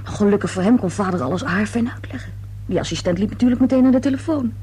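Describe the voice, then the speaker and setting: Sardonic young daughter, radio drama, breathy and strongly husky, clipped sullen replies. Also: female voice, medium pitch, quick pace, mellow. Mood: probing, wry. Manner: curt, sardonic. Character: sullen, sarcastic grown-up daughter needling her parents. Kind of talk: radio play acting.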